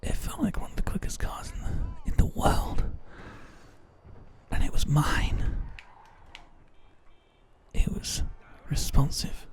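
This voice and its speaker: A man narrating gameplay in a soft, slow, musing ASMR whisper.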